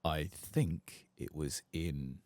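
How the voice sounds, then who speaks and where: Very deep, creaky, soft-spoken gaming man. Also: extremely slow, dry recording. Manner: casual, rambling, wry, sweary. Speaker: host of a game playthrough channel. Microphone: close mic.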